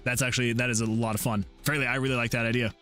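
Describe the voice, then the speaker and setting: A man hosting a game development livestream, chatting casually with viewers and rambling excitably as he thinks out loud. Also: mid-range voice, racing. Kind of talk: casual chat with stream viewers while working. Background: background music.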